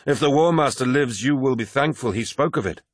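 A man's voice: mid-range voice, fairly quick, no room sound, clean studio sound, voice pushed up, natural voice. A man voicing a defiant, sneering master of a warrior lodge in an audiobook, blunt and insistent.

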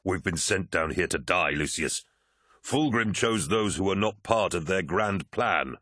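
A man's voice: low-pitched, expressive, unhurried, pushed and bright, no echo, heavily acted. A grave, loyal military captain played by a male narrator, earnest and urgent. The delivery is that of a serious sci-fi audiobook, recorded close and dry.

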